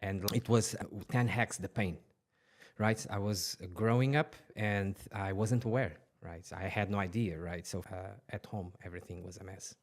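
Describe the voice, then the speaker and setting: A man in his forties from Portugal, a podcast guest telling his life story in an earnest, halting way with many restarts. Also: deep voice, steady tone.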